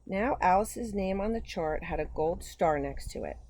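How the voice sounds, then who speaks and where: A woman reading a picture book aloud to young children in an expressive, simple storytelling manner. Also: lowish voice, moderate pace, a little hiss or hum. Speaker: children's storytime host, gymnastics coach.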